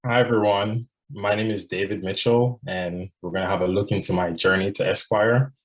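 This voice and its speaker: Casting: man in his twenties from Jamaica, lowish voice, sincere and reflective, filler-heavy delivery. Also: fairly even intonation, normal speed, poor audio. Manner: earnest, reflective, frequent "like" and "um" fillers. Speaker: law student scholar. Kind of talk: prepared personal presentation.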